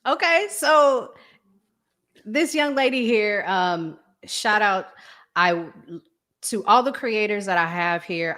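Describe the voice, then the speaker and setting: A woman with a Southern American accent, hosting a casual chat and talking in a relaxed, chatty way with frequent fillers. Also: lowish voice. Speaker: host.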